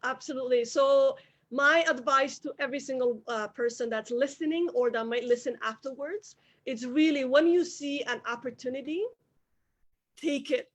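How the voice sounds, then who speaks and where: Lady, high voice, punchy, says things twice to drive them home. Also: moderate pace. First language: Somali. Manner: emphatic, repeats key phrases for emphasis. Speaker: guest, business owner. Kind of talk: motivational interview talk.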